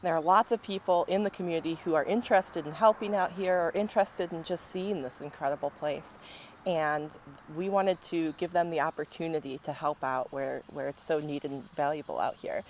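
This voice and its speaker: A woman's voice: deep voice, a little hiss or hum. A woman who coordinates volunteers, speaking earnestly and easily in an interview.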